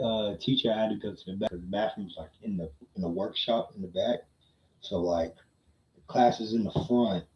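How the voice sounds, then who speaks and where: A man with a fairly low voice tells a casual story to his livestream chat. He takes his time, using slang and repeating phrases to drive points home. The sound is slightly dull, with clear room echo. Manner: slangy, repeats phrases for emphasis with frequent tag questions. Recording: muffled, roomy echo.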